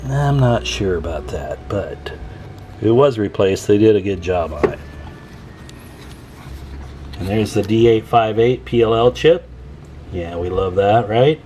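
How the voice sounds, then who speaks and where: Low-pitched man, folksy radio repairer. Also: lively intonation, unhurried, long pauses, darkish tone, slight background noise. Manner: folksy, chatty, enthusiastic bragging. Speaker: radio repairer and video host. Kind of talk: casual hands-on show-and-tell commentary.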